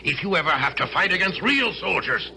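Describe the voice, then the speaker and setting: An actor playing a jovial, domineering middle-aged Hessian colonel in a radio drama, hearty, condescending and commanding. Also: male voice, high-pitched, big pitch swings, fairly quick, vocal fry, sharp tone, full character voice. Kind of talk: old-time radio drama acting. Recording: noisy vintage radio drama recording.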